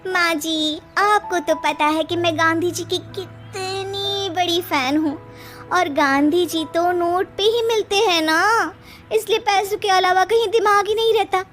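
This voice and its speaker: A woman voicing a lazy, money-minded young daughter-in-law, cheeky and whiny, in an animated story. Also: very high voice, a little slow, soft background music, pitched above the performer's own voice. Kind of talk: animated Hindi moral story voice acting.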